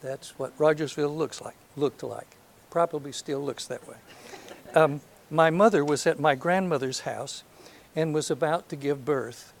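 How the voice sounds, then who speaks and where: Soft-voiced older man from Alabama. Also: a little high, moderate pace, vocal fry, a little hiss or hum. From North Alabama. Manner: hesitant, many ums, self-deprecating humor. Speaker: lecturer. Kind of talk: last lecture, reminiscence. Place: lecture hall with audience. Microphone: handheld or podium microphone.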